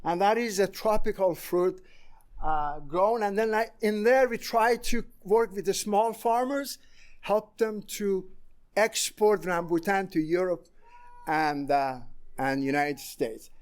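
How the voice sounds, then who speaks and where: A man in his sixties from Iran with an Iranian accent, giving a conference presentation in a halting way with many pauses. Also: high voice. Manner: halting, many fillers and restarts. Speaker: conference speaker.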